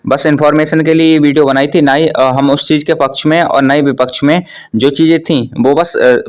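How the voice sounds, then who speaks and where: A man's voice: fairly high pitch, steady tone, fairly quick, clear, resonant tone. A man hosting an informal explainer for viewers, reading out notices and often restating his points. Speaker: host of an exam news channel.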